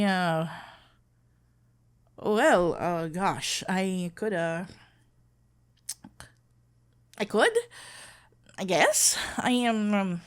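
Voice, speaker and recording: A shy florist hopelessly smitten with a best friend, acted by a woman for a romantic ASMR roleplay. The voice is mid-pitched and very unhurried. The delivery is tender and nervous, halting and stammering through each phrase. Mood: nervous, anxious. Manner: hesitant, stammering, halting, with whispered breathy moments.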